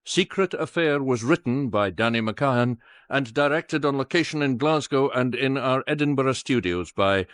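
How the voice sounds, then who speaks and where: A male announcer reads scripted credits for a radio drama. His voice is mid-pitched, and the sound is close and dry, as from a studio. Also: animated, moderate pace, a bit bright, no echo. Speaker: continuity announcer.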